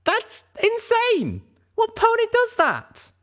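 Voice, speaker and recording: A man voicing an excitable, exasperated young female pony adventurer in a storybook reading. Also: very high-pitched, slow pace, voice pushed up, full character voice. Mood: incredulous, exasperated.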